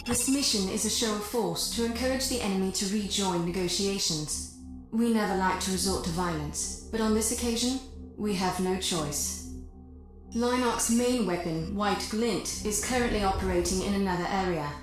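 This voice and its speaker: A cold, polite actress as a corporate briefer delivers video game mission orders. She is formal and composed, her mid-range voice ringing with heavy echo over loud music. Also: medium pitch, moderate pace, natural voice.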